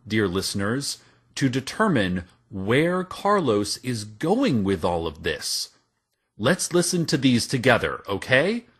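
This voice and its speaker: A smitten small-town radio host in a scripted show. The delivery is slow and dramatic, with a husky edge and wide swings of pitch. He confides in his listeners in florid phrases. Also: exaggerated voice. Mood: confiding.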